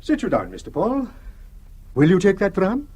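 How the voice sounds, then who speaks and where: A man's voice: high voice, huge pitch swings, moderate pace, a little mellow, slight background noise. An actor playing a courteous middle-aged Shetland father with a Shetland Scots accent, welcoming a guest in a radio drama. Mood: hospitable. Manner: courteous, old-fashioned island speech. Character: hospitable older Shetland crofter father. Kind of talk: 1960s radio drama acting.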